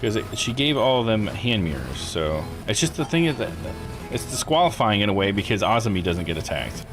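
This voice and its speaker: A man's voice: lowish voice, sing-song, brisk. A man live streaming a visual novel, rambling and thinking aloud in casual commentary. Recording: game music behind voice. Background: video game music.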